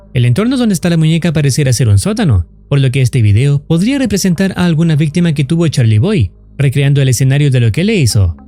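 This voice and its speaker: A man's voice: on the high side, mellow. A man reading scripted narration for a horror explainer video.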